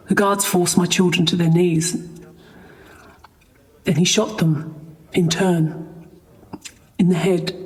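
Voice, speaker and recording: An actress playing a traumatised mother in an audio drama, haltingly recounting her ordeal as a tortured prisoner. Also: on the low side, darkish tone. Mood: anguished. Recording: long reverberant echo.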